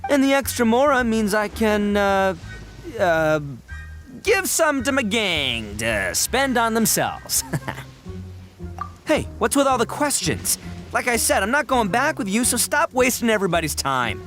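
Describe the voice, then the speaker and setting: A man's voice: high-pitched, big pitch swings, a little slow, over music. A man playing a brash, big-hearted young oni gang boss in a video game, blustering and theatrical.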